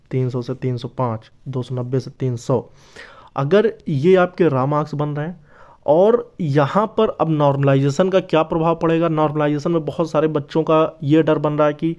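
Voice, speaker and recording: A man's voice: a little high, normal speed, a little mellow, lo-fi sound. A man giving an informal explainer to viewers as an online presenter, addressing them in a familiar, brotherly way with frequent check-in tags. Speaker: YouTube presenter of exam updates.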